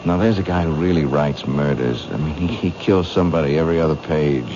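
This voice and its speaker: Menacing young male killer, very low voice, halting slangy talk, old radio thriller, noisy dull recording. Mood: evasive, edgy. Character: menacing, hesitant young man, a killer with a promise. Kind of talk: 1970s radio drama acting.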